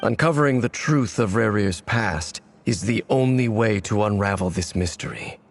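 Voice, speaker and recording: Give a man acting out a brooding old-world swordsman a very low, measured voice that sounds heavy with memory, like someone who has carried a betrayal for centuries. He speaks slowly and gravely in game dialogue, each word weighted. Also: natural voice. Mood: resolute. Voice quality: deep, measured.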